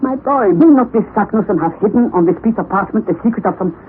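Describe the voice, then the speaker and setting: Excitable eccentric old-school professor. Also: male voice, sits high, fast talker. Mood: eager. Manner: excitable, scholarly, exclamatory. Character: eccentric, impetuous middle-aged male professor. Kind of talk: 1960s radio drama acting. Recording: tinny lo-fi vintage radio broadcast with hiss.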